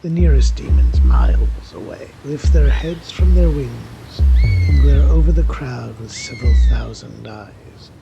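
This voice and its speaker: Male narrator, scripted fiction, creaky gravel, loud music bed, muffled lo-fi recording. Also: medium pitch, huge pitch swings, unhurried.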